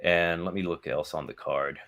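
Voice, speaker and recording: A man's voice: low-pitched, steady tone, normal speed, fairly bright tone. A man talking as a podcast guest, rambling with lots of fillers and casual swearing. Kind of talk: casual chat.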